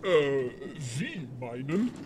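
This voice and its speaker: A woman voicing a huge, menacing but slow-witted male pirate in an audio drama. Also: sits low, big pitch swings, very noisy, voice pushed down, exaggerated voice. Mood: menacing, dim.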